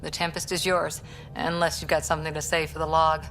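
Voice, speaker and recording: A woman playing a composed starship officer in a video game, speaking in a formal, measured way. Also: deep voice, flat delivery, fairly bright tone, slight background noise. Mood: encouraging. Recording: music behind the voice.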